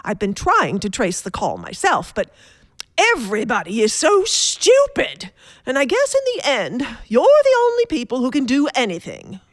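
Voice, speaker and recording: An actress playing a nervous, complaining invalid wife in a radio play, haughty, fretful and insistent. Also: female voice, on the high side, huge pitch swings, moderate pace, dry recording. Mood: indignant.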